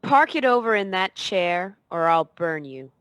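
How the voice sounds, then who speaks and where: An actress playing a tough, teasing femme fatale, cool and wisecracking, in a noir radio play. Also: female voice, lowish voice, very animated, unhurried, a bit bright, no echo. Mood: menacing.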